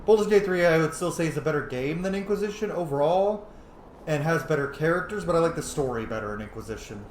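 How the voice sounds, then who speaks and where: A man's voice: a little high, animated, fast, a little hiss or hum. A man hosting a let's play, commenting casually with joking, opinionated, sweary asides. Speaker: YouTuber, gaming host. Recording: roomy echo, game sound behind. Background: faint game ambience.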